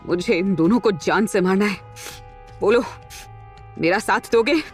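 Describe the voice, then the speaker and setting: A woman's voice: mid-range voice, a little slow, soft background music, natural voice. An actress playing a proud, hot-tempered, scorned young woman in an audio drama, with an emotional, melodramatic delivery. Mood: cold, vengeful. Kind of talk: Hindi audio drama acting.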